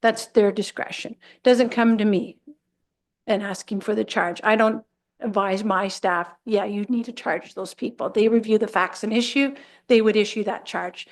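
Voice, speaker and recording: A woman's voice: medium pitch, steady tone, moderate pace. Plain-spoken woman enforcement manager. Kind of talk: council meeting explanation.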